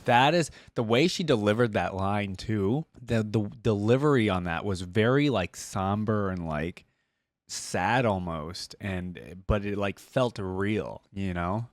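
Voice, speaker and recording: A man's voice: on the low side, expressive, slow, no room sound, soft background music. Casual man reacting, very dry sound. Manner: informal, slangy, rambling. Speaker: reaction video host.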